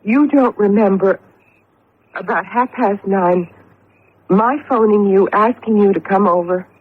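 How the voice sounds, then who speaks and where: An actress playing an anxious, devoted wife in a vintage radio mystery, earnest and hesitant. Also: female voice, mid-range voice, a little slow, breathy edge. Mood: urgent, questioning. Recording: tinny, hissy old radio broadcast.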